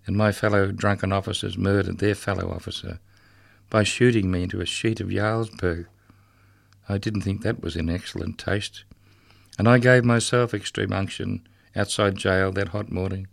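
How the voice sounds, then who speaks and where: A condemned man in his cell, bitter and haunted, his voice low and gravelly, as a poet reads him. He mocks and taunts in a rolling, rhythmic cadence, close and dry. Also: dry recording. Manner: rhythmic, sardonic recitation. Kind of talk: poetry reading.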